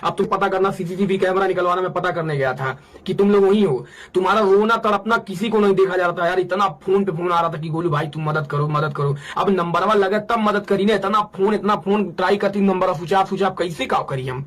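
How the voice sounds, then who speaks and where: A man's voice: high voice, brisk, fairly bright tone. A man with a Bhojpuri accent in a casual phone conversation, asking questions and responding. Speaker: caller questioning and listening.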